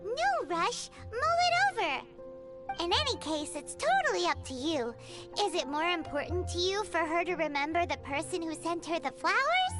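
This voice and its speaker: A tiny floating fairy sidekick, piping extremely high and squeaky. The delivery is animated, cheerful and bossy, in the style of an anime fantasy game, over clear playful music. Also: female voice, moderate pace. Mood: reassuring. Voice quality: squeaky, childlike. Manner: chirpy, refers to self by name.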